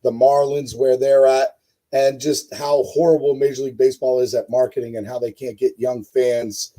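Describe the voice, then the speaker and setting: A man with a hoarse voice hosting a casual live-stream sports show, rambling with many fillers and talking to his chat viewers. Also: mid-range voice, flat delivery, moderate pace, warm tone, rough recording. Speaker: host.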